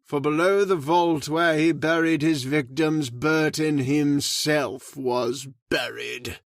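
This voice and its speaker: A man playing a solemn caretaker who slowly tells a dark family legend in an old-time radio drama. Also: a little high, steady tone, no room sound, studio quality. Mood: alarmed. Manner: slow, ominous, measured delivery. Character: grave, solemn male storyteller of the house. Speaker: Mister Roberts, old manor caretaker telling the legend.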